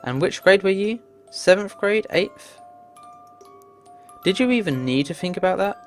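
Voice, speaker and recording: A woman's voice: medium pitch, expressive, measured pace, soft background music, pitched above the performer's own voice, close to an everyday voice. A woman voicing a drowsy, exasperated, teasing friend in a visual novel, with grumbling retorts.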